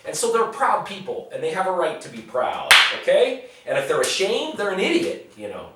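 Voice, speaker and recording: A man's voice: high voice, wildly swooping pitch, normal speed, recorded from a distance, heavy background noise. A man talking bluntly in a casual vlog, answering viewer questions. He rambles, repeats words and swears freely. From United States. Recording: very echoey room, voice off-mic.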